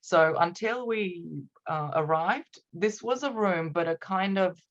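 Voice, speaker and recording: Romanian-accented woman on a dull lo-fi call, lowish voice, thoughtful and halting. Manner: reflective, hesitant, laughs often. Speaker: podcast guest, copywriter. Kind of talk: conversational interview.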